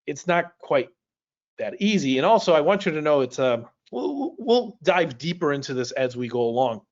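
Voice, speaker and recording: A man's voice: a little high, sing-song, moderate pace. A man whose first language is Polish, teaching a livestream class in a casual, conversational way, speaking directly to viewers. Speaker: speed reading instructor. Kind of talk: livestream lesson, casual explaining. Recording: dull, lo-fi livestream audio.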